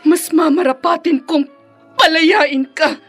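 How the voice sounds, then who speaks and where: A woman's voice: extremely high pitch, slow pace, a bit bright, faint music behind, heavily acted. An actress playing a hurt, fed-up wife and mother in a radio drama, tearful and impassioned. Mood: tearful, defiant.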